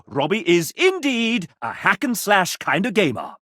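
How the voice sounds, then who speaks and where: A man voicing a sassy, pompous, playful gentleman who hosts and narrates a video game in a showy, theatrical way. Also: high voice, unhurried, no echo, studio quality, full character voice. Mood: wry narration. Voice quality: animated theatrical delivery. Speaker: game show style host and narrator.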